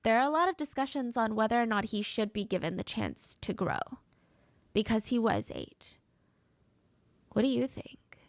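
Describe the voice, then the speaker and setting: Woman, nasal from a cold, higher voice, gravelly fry, dry close sound, true crime host. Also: big pitch swings, normal speed, long pauses, no room sound. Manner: conversational, asks the audience questions. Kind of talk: true crime storytelling to viewers.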